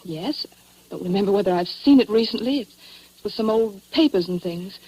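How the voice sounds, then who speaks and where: Earnest young widow, soft-grained husky voice, plaintive and hesitant, old-time radio crime drama. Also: female voice, medium pitch, expressive, brisk, low-quality recording. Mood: anxious. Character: earnest, troubled young widow of murdered man. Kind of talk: 1940s radio acting.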